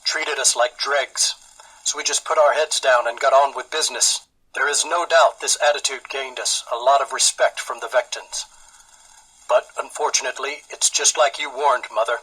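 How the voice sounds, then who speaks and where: An actor playing an earnest, wounded young immigrant son confiding in his mother in a measured game audio log. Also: mid-range voice, fairly even intonation, pushed and bright, slightly rough, poor audio. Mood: bitter, restrained.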